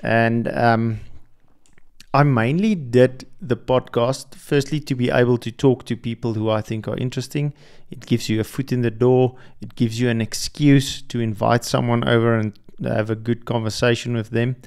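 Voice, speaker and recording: A man's voice: mid-range voice, fairly even intonation, normal speed, darkish tone, clear, resonant tone. A young adult man whose first language is Afrikaans, hosting a podcast and reflecting alone in a hesitant way with many restarts. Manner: hesitant, with frequent restarts and fillers. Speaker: podcast host. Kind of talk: solo reflective monologue.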